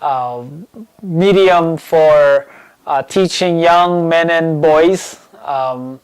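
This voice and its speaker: A man who grew up in Hawaii, welcoming people to a community bike shop, speaking in a halting way with many fillers. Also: on the high side, clear, resonant tone, rough recording. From Hawaii, born in the Philippines. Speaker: program manager of a youth bike shop. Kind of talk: spoken welcome and introduction.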